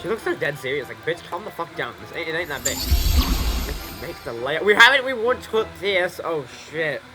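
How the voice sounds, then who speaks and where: A man's voice: a little high, sing-song, normal speed. A young man casually commenting on his gameplay, rambling, swearing and repeating himself. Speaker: gameplay streamer. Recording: game music playing behind.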